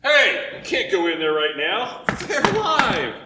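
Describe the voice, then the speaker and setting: A man's voice: sits high, very animated, slow pace, heavily acted. A man playing a flustered radio studio staffer in a radio drama, urgent and protesting. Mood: alarmed, urgent. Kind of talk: dramatic radio play acting. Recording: echoey, noisy, distant from mic.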